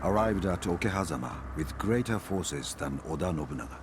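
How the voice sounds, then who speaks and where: A man's voice: low voice, animated, brisk, very noisy. A man playing a solemn, resolute samurai chronicler, giving dramatic scripted historical narration for a game cutscene over music. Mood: solemn storytelling. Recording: music behind the voice. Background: cinematic music.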